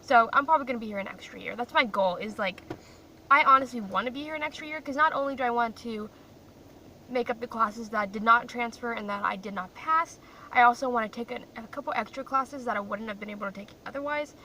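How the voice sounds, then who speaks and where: A woman's voice: on the high side, quick pace, pushed and bright. A young woman who grew up in Croatia, chatting casually and rambling to her phone camera in a parked car, often poking fun at herself. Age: young adult. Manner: chatty, rambling, self-deprecating. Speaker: vlogger. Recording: distant mic with faint car noise.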